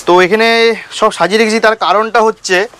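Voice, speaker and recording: A man giving an informal sales pitch as a shop host, repeating key details for emphasis. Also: fairly even intonation, a little fast, a bit bright, a little hiss or hum. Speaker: shop owner and vlog host.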